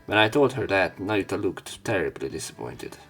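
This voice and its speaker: Low-voiced man reading a visual novel aloud. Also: very animated, normal speed, gravelly, fairly bright tone, husky, soft background music. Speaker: let's player. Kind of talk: let's play commentary, reading visual novel text aloud.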